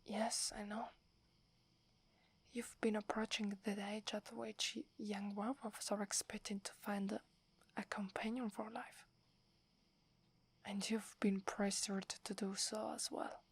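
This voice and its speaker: A woman with an Italian accent playing a shy, devoted young werewolf in a breathy, hesitant ASMR whisper. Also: medium pitch, little pitch movement. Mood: dutiful, resigned. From Italy. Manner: hesitant, halting, deferential. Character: devoted, shy young female werewolf serving her alpha.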